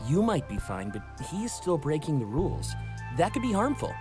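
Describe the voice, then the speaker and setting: A man's voice: on the high side, sing-song, normal speed, pitched above the performer's own voice. A man voicing a frank, cautious but sociable male furry friend in a visual novel. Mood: earnest, concerned. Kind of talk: visual novel character dialogue. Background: game music.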